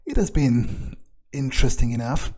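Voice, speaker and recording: A man's voice: medium pitch, expressive, normal speed, dark tone, lightly acted. A man playing a wary, foul-mouthed vampire in a game playthrough, thinking aloud in a hesitant mutter. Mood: musing. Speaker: let's player. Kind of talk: in-character gameplay commentary, thinking aloud. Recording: noticeable background noise.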